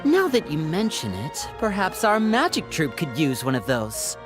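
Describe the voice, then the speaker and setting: A woman voicing a charming young male stage magician with a playful, unhurried showman's manner, in game voice acting. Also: low voice, huge pitch swings. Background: game music.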